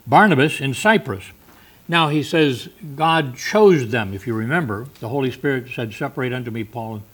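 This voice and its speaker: A man's voice: medium pitch, a bit bright. A man teaching a Bible study, explaining verses in an unscripted, conversational way with many fillers and restarts.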